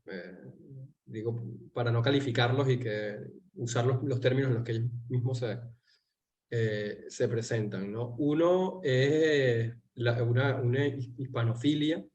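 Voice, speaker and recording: Venezuelan man interviewing, measured and dull-sounding. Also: mid-range voice, a little mellow. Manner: measured, searching for words. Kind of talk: political interview conversation.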